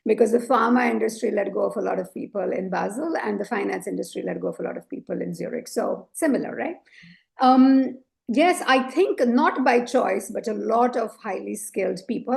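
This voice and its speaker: A woman leadership coach speaking as a podcast guest, with a low voice, reflective and earnest, often adding "you know" and "right?". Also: moderate pace, vocal fry, darkish tone. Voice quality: low, deep voice. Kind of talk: conversational interview.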